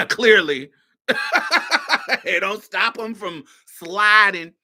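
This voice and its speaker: Sassy, bright-voiced woman host. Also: mid-range voice, extremely slow. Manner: sassy and blunt, swears freely, laughs often. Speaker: podcast host. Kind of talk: casual commentary monologue.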